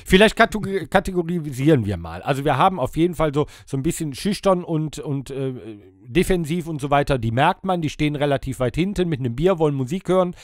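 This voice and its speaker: A man's voice: a little high, expressive, quick pace, few pauses, dry recording, clean studio sound. A talkative, jokey man chatting casually as a podcast host among bandmates.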